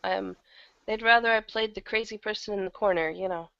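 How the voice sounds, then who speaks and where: A woman's voice: medium pitch, fairly quick, vocal fry, a bit bright, dry recording, muffled recording. A woman with a slightly worn, thrashed throat, talking casually and candidly as an interview guest who acts in audio drama.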